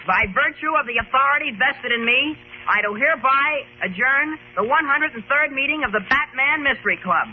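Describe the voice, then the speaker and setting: A man's voice: very high voice, expressive, a little fast. A man playing an impatient, self-important boy sidekick in an old radio drama, brash and mock-formal. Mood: official, eager. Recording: tinny, noisy old radio recording.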